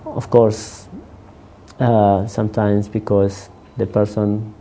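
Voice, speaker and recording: A man working as a counselor whose first language is not English, answering interview questions in a halting way, with many restarts. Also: on the low side, level tone, warm tone, a little hiss or hum.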